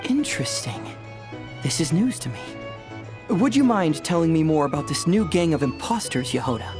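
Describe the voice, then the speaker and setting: A man's voice: on the high side, big pitch swings, normal speed, over music. An actor playing a cheerful young male detective in a fantasy game, chatty, theatrical and courteous. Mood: curious, courteous. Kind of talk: animated game voice acting.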